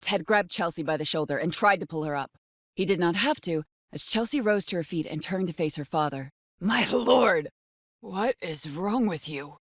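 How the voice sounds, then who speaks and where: A woman's voice: lowish voice, fairly quick, dry recording, clean studio sound. A woman narrating a dramatic scripted horror audiobook.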